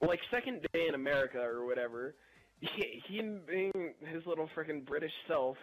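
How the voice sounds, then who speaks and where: A young man telling a casual, rambling story in an animated, slangy way while gaming. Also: fairly high pitch, moderate pace, faint music behind, low-quality recording. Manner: animated, slangy, chuckling delivery. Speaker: gameplay video narrator.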